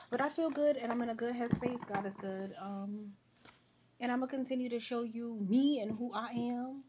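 A woman's voice: a little high, moderate pace, heavy background noise. A young woman doing her makeup at home and chatting to viewers, a little sniffly from a sore throat, rambling in a friendly way. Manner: rambling, chatty, talks to viewers as y'all and girl. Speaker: beauty vlogger. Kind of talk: casual get-ready-with-me chat.

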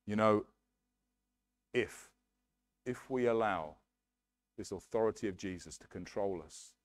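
A middle-aged English man preaching with earnest emphasis, his voice fairly low. He speaks into a headset mic, and the sound is close and dry despite the hall. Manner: earnest, emphatic preaching with hand gestures at a lectern. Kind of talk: sermon. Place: church hall, medium size, indoors.

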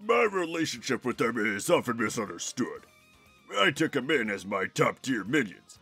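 A man voicing a big, bossy, boastful turtle monster king with a rough, gruff growl in a cartoon. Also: mid-range voice, sing-song, moderate pace, bright, forceful tone, exaggerated voice. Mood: gruff explaining. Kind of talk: cartoon fan-dub character acting. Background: music.

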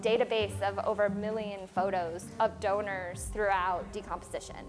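A woman lab worker walks through cleaning procedures in practical, step-by-step terms. Her pitch sits in the middle, with music playing beneath her. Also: level tone, normal speed. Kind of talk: interview answers. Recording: interview with music underneath.

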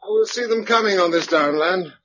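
A man's voice: sits high, moderate pace, close to an everyday voice. An actor playing a level-headed male survivor in a radio drama, with an English accent and a dry, matter-of-fact manner. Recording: muffled, noisy radio drama recording.

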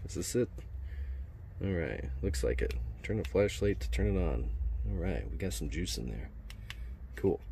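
A man's voice: sits low, expressive, normal speed, gravelly, pauses often, dark tone, slight background noise. A man doing a casual, laid-back unboxing narration as a product reviewer, speaking in colloquial language. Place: indoors at a wooden workbench.

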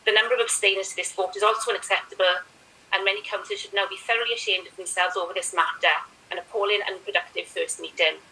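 Brisk Welsh woman on a video call. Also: medium pitch, bright, forceful tone. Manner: brisk, firm reading. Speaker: member of the public. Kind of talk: reading statement at council meeting. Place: room at home, indoors. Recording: distant mic on video call.

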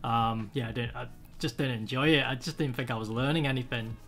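A man's voice: medium pitch, brisk, fairly bright tone. A young man with a British accent, chatting casually on a podcast over a video call from home, full of fillers and restarts. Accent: British English. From United Kingdom. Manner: rambling, many fillers and restarts. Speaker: podcast host. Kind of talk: casual chat. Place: home room, indoors.

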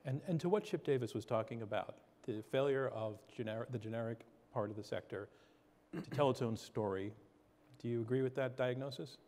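A man in his fifties moderating a conference panel on stage, asking quick probing questions. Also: medium pitch, a little mellow. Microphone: lapel mic. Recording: long hall reverb.

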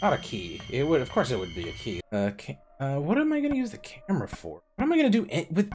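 A young man live-streaming a horror game on a headset mic, joking and cursing, with loud excited reactions. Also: a little high, fast talker. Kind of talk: casual live-stream commentary.

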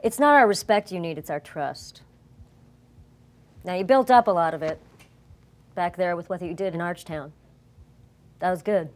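A woman's voice: on the low side, moderate pace, pauses often. Blunt, firm woman speaking in character. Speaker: player. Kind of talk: in-character tabletop roleplay.

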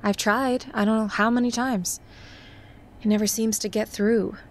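A female actor voices an unhappy partner in an audio drama. Her somewhat high voice is frank and naturalistic, resigned in its delivery. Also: steady tone, normal speed, lightly acted.

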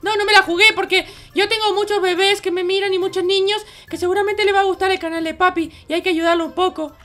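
A cheeky little baby boy for a kids' game roleplay, extremely high and bright. He chatters excitedly and insistently repeats his words. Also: fast. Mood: cheerful.

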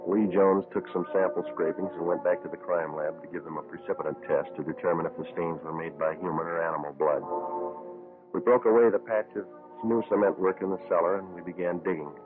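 An actor playing a terse, matter-of-fact American police sergeant with clipped delivery in an old-time radio drama. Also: male voice, sits low, fairly even intonation, a little fast, over music, lightly acted. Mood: deadpan narration. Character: terse, businesslike male police sergeant who also narrates. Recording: muffled tinny vintage radio.

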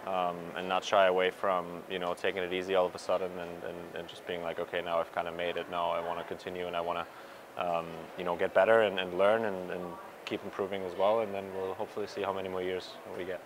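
A young man with a low, level voice answers a cluster of reporters after a match. He talks haltingly, full of ums and restarts, into handheld mics held close. A faint hum of the stadium sits behind him. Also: little pitch movement. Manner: hesitant, many fillers and restarts. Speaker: pro soccer player. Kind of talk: postgame media scrum. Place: stadium media area in front of sponsor backdrop. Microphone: reporters' handheld mics and recorders held close.

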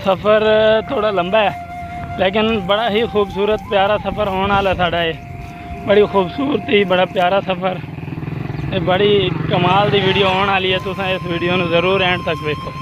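A man's voice: high-pitched, flat delivery, normal speed, highs cut off, background noise. A man in his thirties with a Pakistani Punjabi accent gives effusive village vlog commentary, often repeating his descriptions. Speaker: vlogger. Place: outdoors on a rural road and by a pool. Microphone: handheld phone at arm's length. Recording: music laid over the voice. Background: music.

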